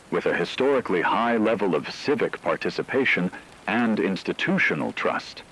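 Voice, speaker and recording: A man playing a grave radio news broadcaster, reading a scripted bulletin in a solemn, measured and formal way. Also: low voice, fairly bright tone, a little hiss or hum, poor audio. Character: grave male radio broadcaster reporting societal collapse.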